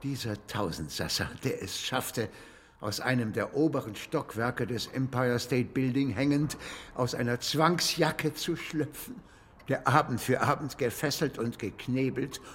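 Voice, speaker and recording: An actor playing an irascible elderly gentleman author in a radio drama, with a brusque, imperious manner. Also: medium pitch, a little slow, in a large hall, a little hiss or hum, lightly acted. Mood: mournful, admiring. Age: older. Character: irascible elderly English gentleman author, gruff, easily nostalgic. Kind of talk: German radio drama acting.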